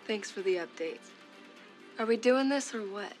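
A woman's voice: mid-range voice, expressive, moderate pace, natural voice. An actress playing a cool, self-assured young woman in a street racing game, speaking curtly and confidently. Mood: cool, challenging. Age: young adult. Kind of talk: video game cutscene acting. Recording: loud music over the voice.

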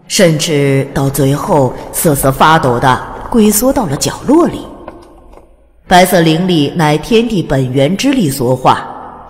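Low-voiced woman narrating fantasy, very expressive. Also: big-room sound. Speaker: audiobook narrator. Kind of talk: dramatic scripted fantasy narration.

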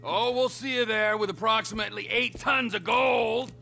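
High-pitched, manic North American criminal. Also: male voice, moderate pace, gravelly, pushed and bright, exaggerated voice. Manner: mocking, theatrical, unhinged. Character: manic, menacing middle-aged male criminal with gleeful mockery. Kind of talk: video game cutscene acting. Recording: music and vehicle noise behind.

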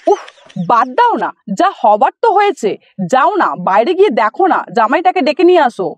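A woman's voice: sits high, very animated, a little fast, no echo. A woman voicing a homely, coaxing middle-aged mother-in-law in a comic cartoon.

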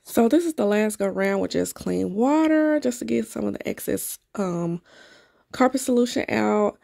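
A woman's voice: mid-range voice, animated, moderate pace, vocal fry, mellow. A woman, casually narrating her cleaning as a vlogger in a garage. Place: home garage. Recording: noisy home camera recording.

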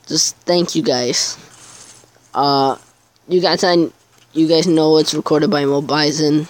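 A man's voice: fairly high pitch, fairly even intonation, slow pace, no echo. A teenage boy chatting casually over his gameplay video, rambling and hesitant, with many fillers. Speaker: gaming YouTuber.

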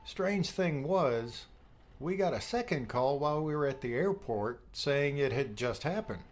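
A man's voice: a little high, animated, measured pace. Man acting protective, wryly teasing father. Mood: relieved, steady. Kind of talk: audiobook drama acting.

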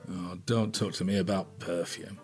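An actor plays an older British police inspector in an audio drama. His voice is fairly low, and he talks in a dry, weary, natural way. Faint music can be heard. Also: little pitch movement, normal speed, natural voice.

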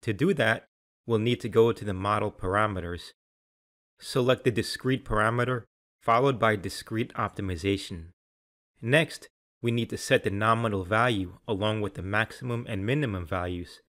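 A man's voice: lowish voice, normal speed, a bit bright. Man narrating a scripted technical tutorial.

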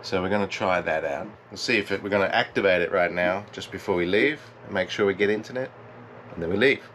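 A man's voice: deep voice, fast talker. A man in his forties chatting casually to an arm's-length action camera aboard a sailboat, thinking aloud as he goes. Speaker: sailing vlogger. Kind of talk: casual vlog chat. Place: on a sailboat, on deck and in the cabin. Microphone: action camera held at arm's length. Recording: outdoor camera with boat and wind noise.

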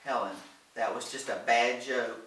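A man's voice: mid-range voice, steady tone, a little slow, a bit bright, roomy sound, far from the mic, slight background noise, close to an everyday voice, poor audio. A man reading the part of a sensible, affectionate woman in a storybook, wry and cautioning.

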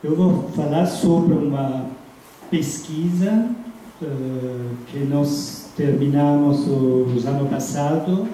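Slow, hesitant Italian-accented male lecturer. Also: a little high, mellow. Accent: foreign, Italian. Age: middle-aged. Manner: hesitant, searching for words. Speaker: guest academic lecturer. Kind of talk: halting lecture in a non-native language. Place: large dim seminar room. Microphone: handheld microphone into room speakers, recorded by distant camera. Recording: echoey room, distant mic, background noise.

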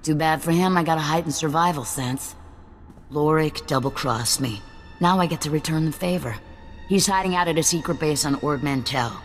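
A streetwise female shuttle pilot, played by an actress in a video game, nervy and self-protective. Her voice is on the low side and rings in a long reverb, with music underneath. She talks casually and stumbles over words as she bargains. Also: normal speed, close to an everyday voice. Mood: wry, grim. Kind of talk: video game cutscene dialogue.

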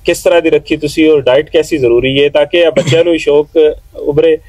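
A man asks long, courteous interview questions and keeps praising his guests. His voice sits somewhat high for a man. The sound outdoors is dry and close. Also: fairly even intonation, fast talker, a little mellow, no echo. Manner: polite, long winding questions, frequent "mashallah". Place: outdoor lawn at night.

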